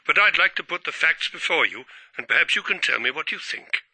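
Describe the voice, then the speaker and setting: An actor playing an earnest, proper family solicitor in a radio drama, with a formal, precise, slightly hesitant lawyerly manner. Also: male voice, on the high side, animated, fairly quick, pushed and bright, slightly rough, heavily acted, low-quality recording. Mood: shocked, unsure.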